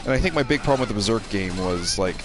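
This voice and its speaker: A man's voice: lowish voice, lively intonation, quick pace. A man in his thirties casually rambling and thinking aloud as he commentates on a video game stream. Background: game music.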